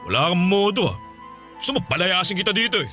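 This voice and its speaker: A middle-aged uncle in a radio drama, with a bright, forceful voice. He is domineering and scornful, scolding and sneering with a quick temper, over faint music. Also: sits high, very animated, exaggerated voice. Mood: angry, threatening. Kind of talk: Filipino radio drama acting.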